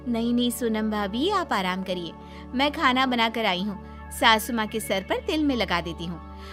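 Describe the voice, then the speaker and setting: Woman acting a cheeky, high-voiced young daughter-in-law. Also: expressive, moderate pace, over music, lightly acted. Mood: sugary, sly. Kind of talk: comic moral-story acting.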